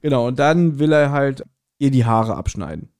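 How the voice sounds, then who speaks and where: A man's voice: mid-range voice, moderate pace. A man co-hosting a podcast, casually recapping a story and acting out bits of dialogue as he retells it. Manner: animated retelling with acted-out quotes. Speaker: podcast co-host. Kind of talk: casual podcast chat recapping an audio drama.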